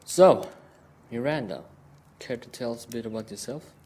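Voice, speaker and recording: Mellow, polite interviewer played by a man. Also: mid-range voice, moderate pace, lightly acted. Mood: curious. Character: polite male interviewer questioning a young woman. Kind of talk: amateur audio play, interview questions. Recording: noisy amateur home recording.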